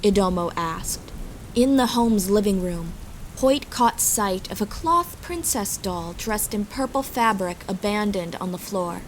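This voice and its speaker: A woman's voice: medium pitch, a little slow, slight background noise, rough recording. A woman reading scripted fantasy story narration as the narrator. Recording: steady rain and storm ambience behind.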